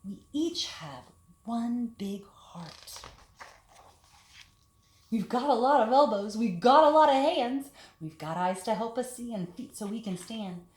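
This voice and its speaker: A woman leading a children's storytime, talking and reading aloud in simple, deliberate phrases meant for young children. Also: on the high side, animated, a little mellow. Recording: echoey room with background hiss.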